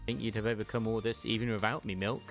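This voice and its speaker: A man's voice: lowish voice, level tone, fast talker, creaky, music in the background. A young man reading a game story aloud and chatting enthusiastically about it.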